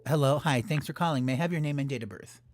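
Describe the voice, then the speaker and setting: A man hosting a live call-in astrology show, speaking softly with many ums and you-knows. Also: medium pitch, quick pace, a little hiss or hum. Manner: soft-spoken, filler-heavy. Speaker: host.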